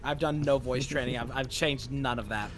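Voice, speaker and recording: A woman co-streaming a game, explaining mechanics in quick, rambling bursts and swearing casually. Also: rumbling low, steady tone. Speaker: co-streamer guest. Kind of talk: casual gaming stream chat.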